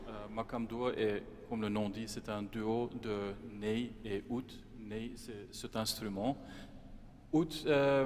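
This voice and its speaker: A Dutch-speaking man from Belgium, speaking French with a Flemish accent, introduces his music at a concert, hesitating with many drawn-out fillers. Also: lowish voice. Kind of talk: halting spoken introduction at a concert. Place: large church. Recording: long church reverb, faint room hum.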